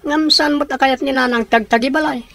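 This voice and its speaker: High-voiced confiding young daughter. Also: female voice, steady tone, fairly quick, fairly bright tone, dry recording. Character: young daughter confiding family troubles to auntie. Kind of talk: radio comedy drama acting.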